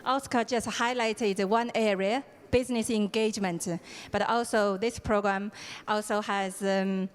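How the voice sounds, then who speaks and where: A woman's voice: mid-range voice, fairly even intonation, a little slow, clear, resonant tone. A woman organizing a program, giving an opening ceremony address with frequent hesitations and fillers. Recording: hall reverb.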